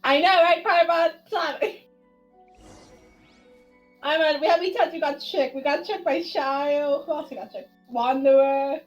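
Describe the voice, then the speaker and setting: A woman's voice: sits high, a little slow, dull audio. A woman streamer chatting casually as she plays a video game, rambling and trailing off. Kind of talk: casual chat while playing a video game. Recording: faint game music behind. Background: video game audio.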